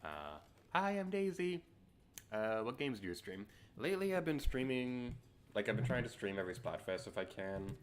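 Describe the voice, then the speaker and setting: A sleepy man with a very deep voice, streaming a game and chatting casually with many fillers. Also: sing-song, moderate pace. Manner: sarcastic outbursts when losing.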